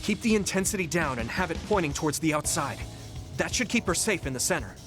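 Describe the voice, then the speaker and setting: Man acting a sincere young soldier over loud music. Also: on the high side, animated, fast, lightly acted. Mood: encouraging. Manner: sincere, supportive. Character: steady, loyal young male soldier, reassuring team leader. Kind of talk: English video game cutscene voice acting.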